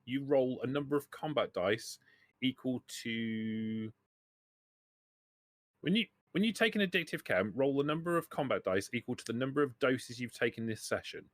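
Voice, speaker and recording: A man's voice: medium pitch, animated, normal speed, no echo. A man acting as game master, explaining rules and running a tabletop roleplaying game, often rephrasing as he goes.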